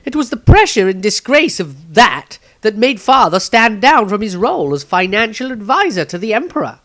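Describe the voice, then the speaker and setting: A man acts out a young apprentice wizard from a fantasy audiobook. The voice is pushed up high, emotional and doubting, and it questions in a strained, halting way. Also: big pitch swings, a bit bright. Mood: upset, bitter. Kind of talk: fantasy audiobook character reading.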